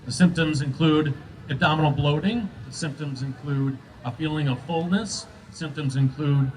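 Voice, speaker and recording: A man's voice: on the high side, normal speed, slight background noise, poor audio. A man giving an explanatory interview as an expert.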